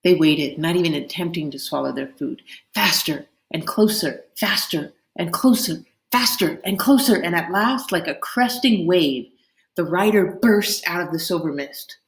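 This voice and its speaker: Lady doing dramatic storybook read aloud, lowish voice, roomy echo. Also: moderate pace, gravelly. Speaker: read-aloud host reading a children's novel. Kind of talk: expressive storybook narration. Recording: roomy, echoing indoor sound.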